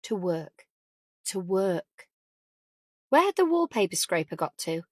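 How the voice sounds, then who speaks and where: A woman's voice: mid-range voice, sing-song, measured pace, a little mellow, no room sound, clean studio sound. A woman reading scripted fiction as an audiobook narrator.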